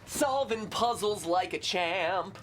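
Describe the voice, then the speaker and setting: A young man hosting a casual game livestream, goofy and excitable, breaking into shouts and playful sing-song bits. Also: high-pitched, slow, a little hiss or hum. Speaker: host. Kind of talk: casual let's-play chat.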